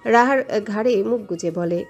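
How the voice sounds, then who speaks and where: A woman's voice: medium pitch, measured pace, darkish tone, highs cut off. A woman reading a scripted romantic story as its narrator. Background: background music.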